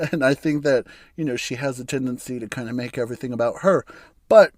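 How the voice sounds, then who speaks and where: A man from the United States hosting a solo podcast, his voice raspy and hoarse from bronchitis, rambling through his opinions with chuckles and frequent restarts. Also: mid-range voice, a little fast, no room sound, studio quality. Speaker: podcast host. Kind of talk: casual solo podcast monologue.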